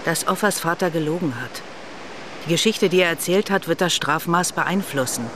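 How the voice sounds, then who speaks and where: An actress playing a skeptical police colleague in a radio drama, questioning and reasoning in a measured way. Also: female voice, lowish voice, close to an everyday voice. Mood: probing, doubtful. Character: probing, skeptical female senior police colleague. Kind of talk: German radio drama acting. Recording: clear surf-like ambient noise behind.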